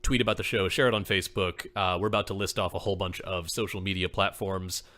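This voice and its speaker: Casting: male podcast co-host, lowish pitch, bright forward tone, earnest chat. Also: steady tone, fast. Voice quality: voice people call radio-like. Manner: earnest, peppers speech with "like".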